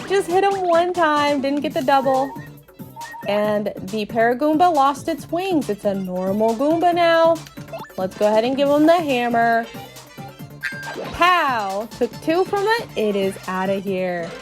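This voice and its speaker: A woman with a high voice narrates a video game like a playful storyteller. Her delivery is cutesy and expressive, with lively swings in pitch. Music plays softly behind her. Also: measured pace. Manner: cutesy, expressive, storyteller-like, uses sound words. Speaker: podcast host doing a dramatic read-along of a video game.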